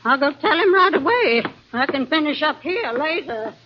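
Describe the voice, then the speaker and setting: A woman's voice: high voice, big pitch swings, moderate pace, heavy background noise. An actress playing a chatty, motherly older woman aboard a ship, in a homely 1940s radio drama style. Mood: fussing. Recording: muffled old radio recording.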